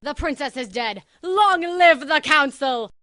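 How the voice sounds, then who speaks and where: Female performer for an exasperated unicorn noble, rebellious, sharp bright tone, close dry sound. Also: high voice, lively intonation, unhurried, no room sound, exaggerated voice. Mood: defiant. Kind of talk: cartoon fan fiction audio reading.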